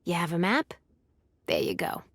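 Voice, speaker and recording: A woman's voice: lowish voice, wildly swooping pitch, moderate pace, no room sound, clean studio sound, heavily acted. A woman playing a jaded, impatient records clerk in a game, curt and sarcastic. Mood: irritated, bored. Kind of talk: video game character acting.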